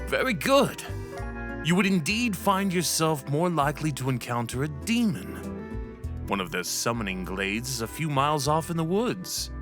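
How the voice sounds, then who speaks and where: A man's voice: fairly high pitch, sing-song, normal speed, music in the background, voice pushed up. A man playing a stern, wry, pompous male professor in a fantasy audio roleplay, lecturing in a haughty, theatrical way. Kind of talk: ASMR roleplay acting.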